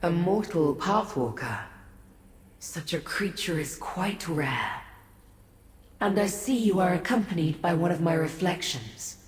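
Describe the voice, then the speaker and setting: A woman voices an otherworldly, weary goddess from a fantasy game, regal and mysterious. Her low voice moves very slowly and formally through a long echoing reverb, over faint ambience. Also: faint music behind, close to an everyday voice. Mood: regal, measured. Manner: measured, formal, grave. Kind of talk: scripted fantasy game dialogue. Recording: echoey reverb, faint noise.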